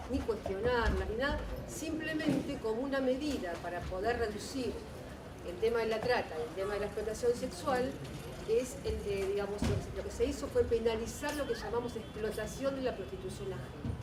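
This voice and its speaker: A woman from Argentina with an Argentine accent, asking an earnest, insistent question at a panel discussion. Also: a little high. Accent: Argentine Spanish. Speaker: audience member asking a question. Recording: echoey room, distant mic, noisy.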